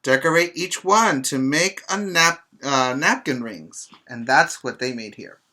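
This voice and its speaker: A middle-aged man with a bright, clear-cut edge to his voice teaches preschoolers. He takes his time, reading a picture book aloud and pausing to explain and check in with the children. His manner is instructive and simple. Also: mid-range voice. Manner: slow, instructive, addressing children with check-in questions.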